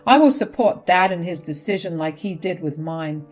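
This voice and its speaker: A woman's voice: on the low side, animated, moderate pace, darkish tone. A woman voicing a fed-up adult son who refuses his mother bluntly and firmly, over faint music.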